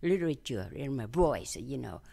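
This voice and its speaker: An older woman from Vietnam with a Vietnamese accent, telling her story in an interview in simple, halting English with many fillers. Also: very deep voice, big pitch swings, gravelly, warm tone, slightly rough. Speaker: interview guest. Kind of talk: conversational interview.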